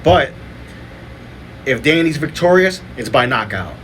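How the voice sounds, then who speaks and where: A man's voice: medium pitch, big pitch swings, a little slow, a bit bright, slight background noise. A man hosting a podcast, chatting casually as he runs through fight lineups and picks. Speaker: podcast host. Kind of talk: casual boxing podcast talk.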